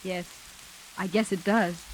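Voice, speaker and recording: An actress playing a guarded, brave female hostage in 1940s radio noir style, speaking earnestly with hesitant pauses. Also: fairly low pitch, fairly even intonation, rough recording. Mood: guarded, subdued. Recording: hissy 1940s radio recording, rain behind.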